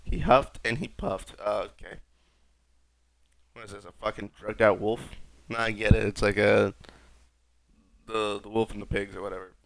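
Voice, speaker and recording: Man, young adult, raspy, sick, lowish voice, rambling, wry, casual game commentary. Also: steady tone, normal speed, pauses often, low-quality recording. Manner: rambling, wry, self-mocking. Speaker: gaming streamer.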